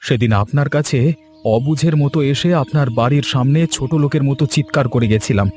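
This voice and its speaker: A man's voice: mid-range voice, brisk, darkish tone, natural voice. A man playing an earnest, wronged and hot-headed villager in a cartoon story, speaking emphatically. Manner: emphatic, declamatory. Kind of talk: Bengali cartoon story acting.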